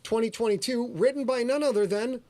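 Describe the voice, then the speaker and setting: A man hosting a casual podcast livestream, talking in an excitable, rambling, self-deprecating way. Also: extremely high pitch, fairly quick. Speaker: podcast host and author.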